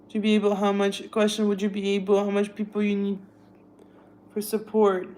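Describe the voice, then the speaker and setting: A woman's voice: medium pitch, monotone, brisk, mellow, low-quality recording. Young woman, Russian accent. Chatty livestream host, easygoing tone, reads viewer questions aloud. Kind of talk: casual livestream chat.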